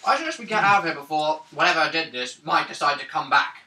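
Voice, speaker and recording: Fast-talking man in an echoey, noisy room. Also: a little high, expressive. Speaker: player in a tabletop game. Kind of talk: casual banter. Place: indoors, around a gaming table. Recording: echoey room, distant mic, clear noise.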